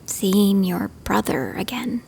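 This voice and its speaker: A woman's voice: medium pitch, expressive, slow, creaky, darkish tone, a little hiss or hum. A woman playing an earnest, inquisitive scout in an audio drama. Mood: hushed, curious.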